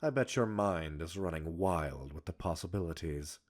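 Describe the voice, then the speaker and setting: A man's voice: low voice, moderate pace, close to an everyday voice. A man playing a smug, arrogant male professor in an ASMR roleplay, erudite and teasing, flirtatious and condescending as he talks like a scientist. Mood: flirtatious, curious. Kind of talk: ASMR roleplay audio drama.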